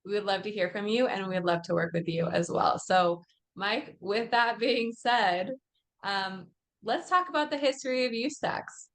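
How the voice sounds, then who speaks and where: A woman co-hosts a podcast in a friendly, upbeat manner. Her voice sits in a middle pitch. She introduces the show's services in conversational speech. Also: moderate pace, a bit bright. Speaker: podcast co-host. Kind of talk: casual podcast chat and firm introduction.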